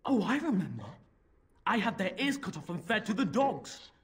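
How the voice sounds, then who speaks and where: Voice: medium pitch, sing-song, normal speed. An actor voicing a demon-possessed young boy in a fantasy game, imperious, cruel and bored, speaking with haughty menace. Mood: haughty cruel amusement. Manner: haughty, menacing, toying with listeners. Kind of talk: fantasy video game voice acting.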